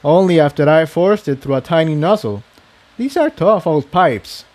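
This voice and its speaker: Man voicing an earnest, animated young unicorn engineer. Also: a little high, normal speed, voice pushed up, natural voice. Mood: confident. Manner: matter-of-fact explaining.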